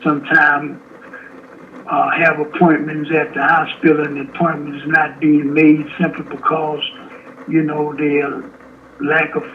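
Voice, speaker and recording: Man in his 70s, halting restarts, slightly high voice, tinny phone line, faint hiss. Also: little pitch movement. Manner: slow, halting, with many restarts. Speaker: incarcerated interviewee. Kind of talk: phone interview from prison.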